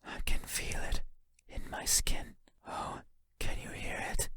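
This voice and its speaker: A man voicing a lonely, yearning monster for a horror game, in a breathy whisper with a halting, intimate manner. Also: bright, forceful tone. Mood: yearning, unsettling. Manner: halting, intimate, musing, formal phrasing. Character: lonely wordless monster lurking in the dark, yearning, eerie. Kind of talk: video game horror monologue.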